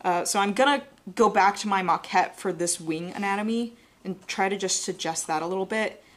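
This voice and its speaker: A woman's voice: medium pitch, brisk. A young woman with a Southern American accent, an art instructor talking step by step through a live drawing demonstration in a studio, often correcting her own words as she sketches. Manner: relaxed, explains step by step while sketching, self-correcting. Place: studio with an overhead desk camera.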